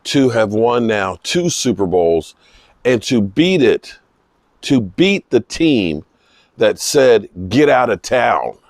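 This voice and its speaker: A man with a slightly hoarse voice giving unscripted, emphatic sports commentary, pausing mid-sentence for emphasis. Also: mid-range voice, very animated, darkish tone, no echo, clean studio sound. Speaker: football vlogger giving post-game reaction. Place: outdoors in misty rain.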